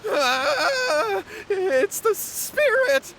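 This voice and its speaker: A man's voice: very high-pitched, big pitch swings, extremely slow, pushed and bright, a little hiss or hum, exaggerated voice. An actor playing a cowardly, scheming young brother in a video game, flustered and pleading as he explains himself. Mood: frightened.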